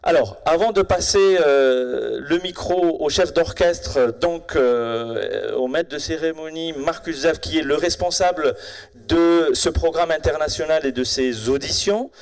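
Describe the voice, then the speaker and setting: A man with a fairly high voice welcomes a lecture audience as its organizer. He speaks in a halting way, often repeating words as he goes. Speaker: event organizer introducing a lecture. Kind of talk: public lecture introduction.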